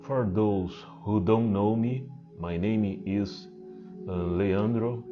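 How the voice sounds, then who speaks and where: A man with a Portuguese accent talks slowly and hesitantly in a lowish voice, stopping often to restart his sentences. He speaks as a spiritual teacher. The sound is muffled, and singing bowl music plays clearly behind him. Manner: hesitant, halting, many fillers and restarts. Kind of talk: unscripted spiritual talk to camera.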